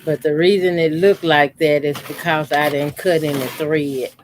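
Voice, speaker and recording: A man in his fifties, a quilting channel host, calmly talking through a how-to demonstration at his sewing machine with his voice deliberately kept low. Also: slight background noise. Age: 50s. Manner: talks unhurriedly while sewing, deliberately keeping his voice lowered.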